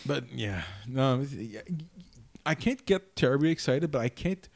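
A man's voice: mid-range voice, expressive, a little slow, creaky, darkish tone. A man co-hosting a podcast in casual chat, laid-back and often restarting his sentences. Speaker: podcast co-host.

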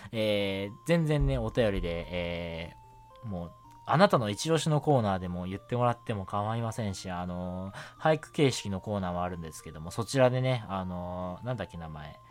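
Guy doing a laid-back solo radio show, chatty n rambly, mid pitch but really animated, music behind him. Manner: chatty, rambling, self-interrupting with chuckles. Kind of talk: casual solo radio chat about anime.

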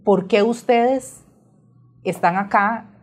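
A woman hosts a podcast conversation. Her voice sits at a medium female pitch, and she speaks conversationally as she guides the discussion. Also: slow pace. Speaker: podcast host.

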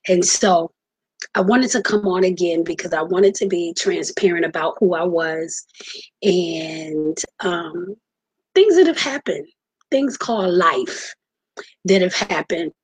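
A woman in her forties hosting her own podcast, telling her personal story earnestly with many pauses and fillers. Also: on the low side, breathy edge, no echo. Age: 40s. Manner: earnest, halting with many ums. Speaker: podcast host. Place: home, just moved in.

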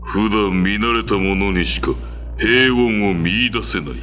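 An actor playing an imposing, menacing red demon in a radio drama, with a heavy voice and an imperious, brooding manner. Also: male voice, a little slow, bright, forceful tone, faint music behind. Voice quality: deep, heavy voice. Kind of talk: radio drama acting of a ghost tale.

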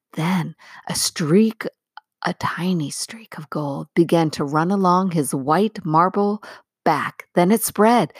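Unhurried low-pitched woman reading a story. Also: dry recording, clean studio sound. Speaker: audiobook reader. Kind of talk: scripted storybook narration.

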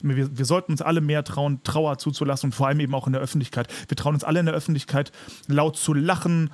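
A man's voice: fairly high pitch, steady tone, fast talker, no room sound. A man co-hosting a podcast in casual chat, speaking emphatically and repeating words for emphasis. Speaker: podcast co-host.

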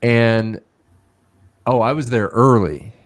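A man's voice: medium pitch, takes it slowly. A middle-aged man co-hosts a laid-back podcast and teases himself with wry humor. He sounds close and dry, with no room echo. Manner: wry, self-mocking banter. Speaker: podcast co-host. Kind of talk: casual chat.

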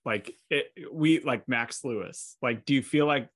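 A podcast host asking questions in a halting way, often repeating words while searching for the phrasing. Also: medium pitch, dry recording, studio quality. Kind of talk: conversational interview.

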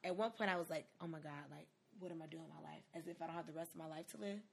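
A woman's voice: low voice, racing, vocal fry, breathy edge. A woman in her twenties giving casual, conversational advice in a candid, emphatic way, as a podcast host. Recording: noticeable background noise.

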